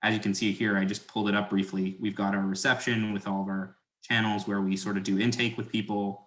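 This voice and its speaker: A man's voice: lowish voice, level tone, fairly quick, a bit bright. Man explaining casually in echoey room.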